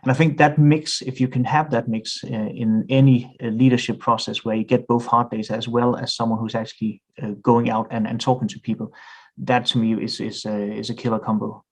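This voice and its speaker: Danish-accented man, flat and hesitant. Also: mid-range voice, a little fast, poor audio. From Denmark. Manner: thoughtful, frequent hesitations and restarts. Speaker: guest. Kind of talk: podcast interview.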